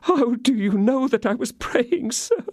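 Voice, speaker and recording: A man's voice: fairly high pitch, very animated, normal speed, a little mellow, no room sound, studio quality, voice pushed up. A man voicing a scheming woman prisoner who pleads and prays in feigned, sobbing despair. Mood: pleading.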